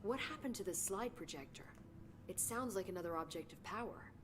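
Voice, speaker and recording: An actress playing an eager researcher in a video game, explaining findings in a brisk, informative way. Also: female voice, medium pitch, lightly acted. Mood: curious. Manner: brisk, informative, slightly excited. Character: eager female bureau researcher, brisk and curious. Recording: game audio with clear noise.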